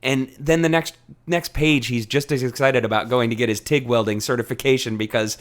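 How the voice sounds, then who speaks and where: A man's voice: mid-range voice, steady tone, brisk. A man hosting a podcast, talking casually through a book discussion in a conversational way. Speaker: podcast host.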